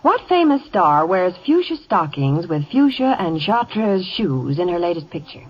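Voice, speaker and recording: A woman's voice: on the low side, sing-song, measured pace, breathy edge. A woman giving scripted advertising banter as a radio reporter in 1950 style. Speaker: radio reporter and sponsor pitchwoman. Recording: muffled vintage 1950 radio broadcast.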